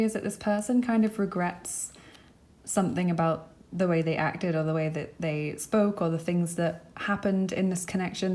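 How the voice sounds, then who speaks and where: A young woman gives a tarot reading at an indoor table, her throat croaky and dry. Her voice is mellow and a little low, held in a flat, even line. She talks softly and reassuringly, with plenty of filler words. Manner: soft, reassuring, many fillers. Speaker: tarot reader. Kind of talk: pick-a-card tarot reading to camera.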